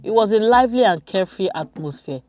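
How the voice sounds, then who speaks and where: A woman's voice: mid-range voice, expressive, quick pace, dark tone, soft background music. A woman narrating a scripted folktale for children as a storyteller.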